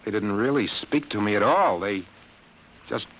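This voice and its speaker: An actor in an old-time radio drama playing a shaken, earnest young test pilot, halting and insistent. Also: male voice, fairly low pitch, expressive. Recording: muffled old radio broadcast recording.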